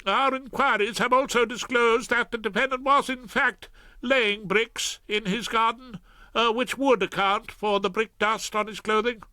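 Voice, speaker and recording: A flustered courtroom prosecutor, put on by a male narrator, pitched well above the reader's own voice and tripping over himself with ums and throat-clearing. The tone stays bright and apologetic, and the delivery is close and dry. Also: fairly even intonation, measured pace, dry recording, exaggerated voice. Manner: hesitant, throat-clearing, stammering.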